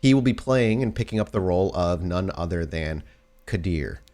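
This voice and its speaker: A man's voice: low-pitched, lively intonation, moderate pace, mellow. A man hosting and narrating a tabletop role-playing podcast as its storyteller. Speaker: host and game storyteller. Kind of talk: casual show chat and game narration.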